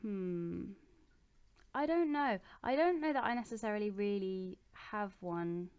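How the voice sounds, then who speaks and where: A young woman in her bedroom, answering viewer questions in a relaxed, chatty way, with plenty of ums and restarts. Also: medium pitch, unhurried, clear, resonant tone. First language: English. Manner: chatty and relaxed, with many ums and self-corrections. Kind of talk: casual chat.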